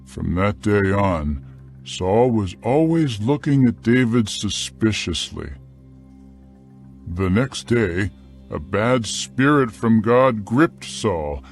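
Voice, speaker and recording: A man narrating a dramatized scripture account in a measured, deliberate storytelling style. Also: fairly low pitch.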